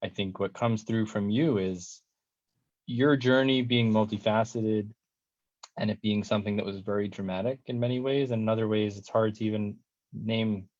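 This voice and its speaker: A man's voice: on the low side, a little mellow, no echo, lo-fi sound. An American man hosting a conversational interview, speaking in an encouraging way and restating what he hears. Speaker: podcast host, speech-language pathologist.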